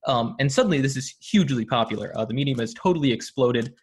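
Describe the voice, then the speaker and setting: A man's voice: mid-range voice, expressive, fast talker, breathy edge. A man with an American accent speaking casually as an interview guest, explaining things in an articulate way. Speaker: podcast guest, audio drama producer.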